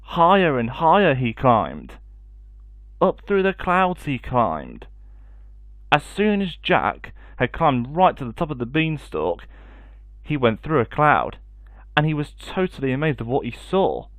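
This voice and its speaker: A male storyteller with a mid-pitched voice, reading expressively for children. The recording is dry, with little room sound. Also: big pitch swings, unhurried, fairly bright tone, dry recording.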